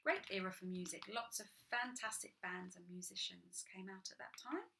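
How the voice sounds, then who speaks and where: A woman's voice: mid-range voice, expressive, moderate pace, vocal fry, pushed and bright, a little hiss or hum. A young woman reading a picture book to children, breaking off for chatty, enthusiastic asides. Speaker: children's storytime reader.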